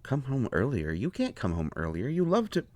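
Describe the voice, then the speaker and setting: A man playing a devoted, anxious healer in an intimate roleplay audio drama, speaking earnestly and haltingly to a partner. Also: medium pitch, wildly swooping pitch, darkish tone, dry recording. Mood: tender, reassuring.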